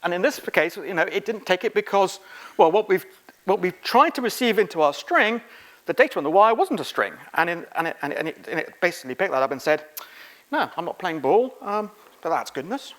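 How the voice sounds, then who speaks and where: A middle-aged man speaking as a conference presenter, giving an informal, halting technical lecture. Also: high-pitched, expressive, fairly bright tone. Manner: informal, halting, quizzing the audience. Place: conference room, on stage.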